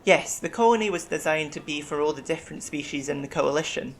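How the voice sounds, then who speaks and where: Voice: medium pitch, quick pace, natural voice. Polite, formal alien bear medic played by an actor. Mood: polite, modest. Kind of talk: science-fiction audio drama acting.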